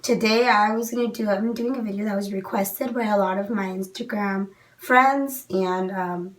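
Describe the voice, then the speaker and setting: A woman's voice: medium pitch, normal speed, distant mic. Young woman losing her voice. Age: young adult. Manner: chatty, many "um"s, frank opinions. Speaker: beauty vlogger. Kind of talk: casual product review to camera. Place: small indoor room.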